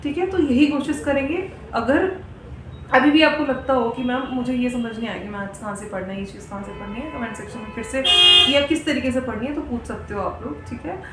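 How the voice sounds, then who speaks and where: A woman's voice: fairly high pitch, a little fast, loud music behind the voice. A young woman giving informal, insistent study advice to students as an exam mentor, mixing English words into her talk. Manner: instructive, insistent, Hindi mixed with English words. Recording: echoey room with clear background noise.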